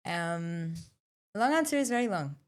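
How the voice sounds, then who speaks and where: Podcast co-host: young woman, low pitch, thoughtful, lots of ums and self-corrections. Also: animated. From South Africa, raised in Australia. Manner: thoughtful, halting, many fillers and restarts. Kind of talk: casual podcast conversation.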